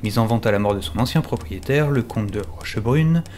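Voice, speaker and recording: Actor as a timid clerk over faint ambience. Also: male voice, lowish voice, brisk, darkish tone, a little hiss or hum, lightly acted. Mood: measured, wry narration. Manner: hesitant, stammering in dialogue. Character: timid, eager male notary's clerk narrating his own story. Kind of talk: French audio drama with first-person narration.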